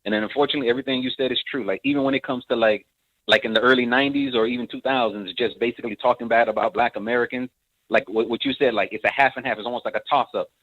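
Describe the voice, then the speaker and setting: A man's voice: medium pitch, fairly even intonation, racing, no echo. A man calling in to a radio show, rambling and leaning heavily on "like". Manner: rambling, full of "like". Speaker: caller. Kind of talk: phone-in talk. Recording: dry, tinny phone line.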